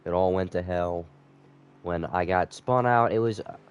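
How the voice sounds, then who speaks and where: A man's voice: deep voice, normal speed, clean tone, highs cut off. A man sick with a cold, slightly nasal, rambling casually to viewers as he commentates a live game stream.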